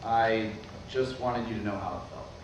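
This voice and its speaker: A man's voice: on the low side, normal speed, dull audio, lightly acted. A man acting in a staged play reading as a wry, apologetic older brother, estranged and carrying old grievances. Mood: regretful. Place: large room at a staged reading. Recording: very echoey distant room recording, clear noise.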